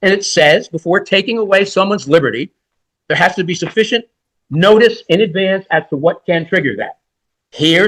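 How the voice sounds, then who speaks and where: A man's voice: sits high, fairly bright tone, rough recording. A man in his sixties, a defense lawyer, making an oral argument in court in an emphatic, rhetorical and repetitive way.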